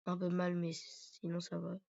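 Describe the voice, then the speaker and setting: Gravelly guy with a cold. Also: sits high, completely flat delivery, unhurried, a little mellow. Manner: rambling, hesitant. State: stuffy from a cold, sore throat. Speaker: gaming YouTuber. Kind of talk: casual gameplay commentary. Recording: dull lo-fi recording.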